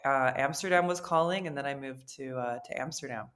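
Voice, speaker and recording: A woman's voice: extremely low pitch, a little fast, fairly bright tone. A woman from Canada, a podcast guest, tells her story in an upbeat, chatty way. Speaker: interview guest. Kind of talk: casual storytelling.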